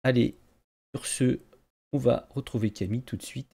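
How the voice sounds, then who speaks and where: A man's voice: mid-range voice, fairly even intonation, moderate pace, gravelly, mellow, breathy edge. A man hosting a podcast in a casual, colloquial way. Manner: informal, colloquial. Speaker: podcast host.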